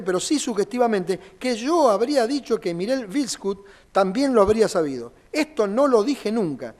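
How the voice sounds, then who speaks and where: A high-pitched man delivers a courtroom closing argument as a defense lawyer. He speaks formally and reads long passages from documents aloud.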